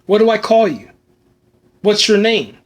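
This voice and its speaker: A man hosting a solo film review podcast, talking casually and enthusiastically with lots of fillers, a bit hoarse as he loses his voice. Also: sits high, big pitch swings, a little slow. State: losing his voice.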